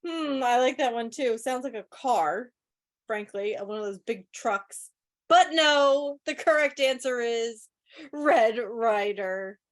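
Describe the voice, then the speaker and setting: A woman's voice: fairly high pitch, slow pace. A woman hosting a quiz in a casual, chatty style, with wry joking asides, speaking on an online video meeting. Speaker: quiz host. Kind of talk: casual live trivia commentary.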